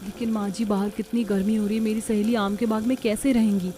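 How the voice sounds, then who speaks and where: A woman's voice: medium pitch, level tone, fast, mellow, a little hiss or hum, lightly acted. A woman voicing a put-upon, complaining young daughter-in-law in a cartoon story. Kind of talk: Hindi cartoon story voice acting.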